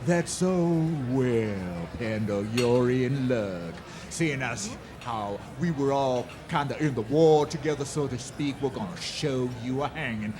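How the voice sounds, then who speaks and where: A man's voice: medium pitch, animated, unhurried, hardly any pauses, music in the background, lightly acted. A man playing a taunting, menacing bushwhacker in a western radio drama, with a sly drawl. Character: mocking, menacing male western bushwhacker and bully. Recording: live stage with audience noise.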